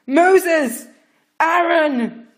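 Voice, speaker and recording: A woman's voice: very high voice, extremely slow, voice pushed up, full character voice. A woman reading a story voices a broken, desperate male king who cries out and begs frantically. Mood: frantic calling. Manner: weak, then frantic crying out.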